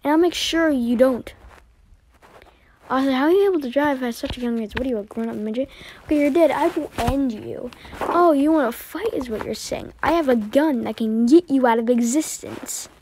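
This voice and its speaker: A woman with a fairly high voice does amateur voice-over for a homemade animation. She reads character lines as acted dialogue. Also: moderate pace, darkish tone, slight background noise.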